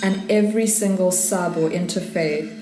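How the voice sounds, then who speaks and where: A woman's voice: lowish voice, steady tone, normal speed, a little hiss or hum. A South African woman in her thirties talking casually and reflectively, with cutlery and dishes clinking around her. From northern KwaZulu-Natal, South Africa. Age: 30s. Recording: roomy sound, loud clatter of dishes.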